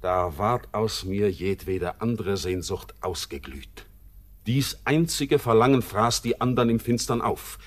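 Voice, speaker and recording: Brooding, low-voiced seaman, slow and weighty. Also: lively intonation. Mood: anguished. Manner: theatrical, weighty verse declamation. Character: brooding, melancholy seaman, bitter and world-weary. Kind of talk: classic German radio drama acting.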